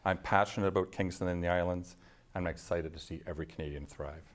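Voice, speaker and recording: A man running as a candidate, finishing a prepared debate statement in a steady, scripted way. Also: deep voice, fast. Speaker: election candidate.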